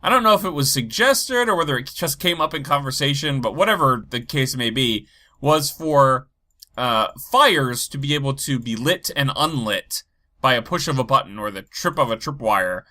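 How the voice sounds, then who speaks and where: A man with a head cold, stuffy and a bit low energy, rambling as he talks through his game and thinks aloud. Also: bright, forceful tone. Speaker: Minecraft let's play host. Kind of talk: casual gameplay commentary.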